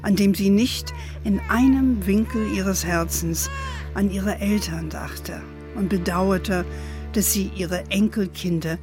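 An actress playing a gentle, playful grandmother who tells family history in a measured storybook manner for an audio drama. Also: mid-range voice, lightly acted. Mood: measured, wistful. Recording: music under the voice.

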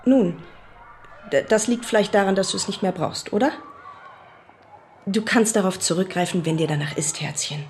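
A woman playing an encouraging, soothing counsellor in a radio drama, reassuring and measured. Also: medium pitch, darkish tone, a little hiss or hum, close to an everyday voice.

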